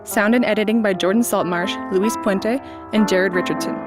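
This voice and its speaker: A woman's voice: medium pitch, moderate pace. A young woman announcer reading scripted credits over guitar music. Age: young adult.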